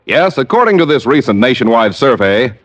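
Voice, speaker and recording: Animated vintage radio ad man, muffled sound. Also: mid-range voice, fairly quick. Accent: American. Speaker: radio commercial announcer. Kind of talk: 1940s radio advertising read. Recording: dull old radio recording.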